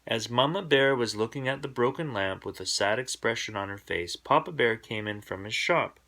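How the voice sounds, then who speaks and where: Male storybook narrator, bright forward voice, expressive bedtime read-aloud for young children. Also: mid-range voice, moderate pace.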